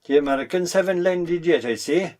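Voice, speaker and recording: A man's voice: on the high side, brisk, darkish tone, close to an everyday voice. A man acting a nosy country uncle in an amateur play, folksy and roundabout, with an Irish accent. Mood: genial, probing.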